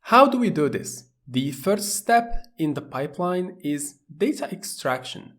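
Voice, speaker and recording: A man from Morocco, narrating a tutorial course in a plain, steady explaining manner. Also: a little high, a little slow. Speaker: course instructor.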